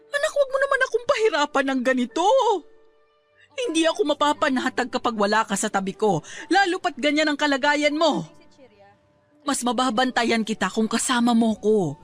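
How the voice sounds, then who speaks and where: A woman with a Filipino accent playing a worried, protective mother in a radio-style drama, pleading and reassuring. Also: high voice.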